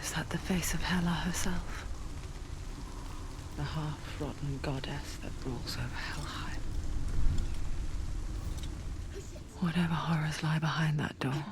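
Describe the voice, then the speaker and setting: A woman voicing an eerie, knowing inner-voice narrator in a video game, whispering in a slow, intimate, breathy way. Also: low-pitched, steady tone, noisy background. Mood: hushed dread. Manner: slow, hushed, confiding.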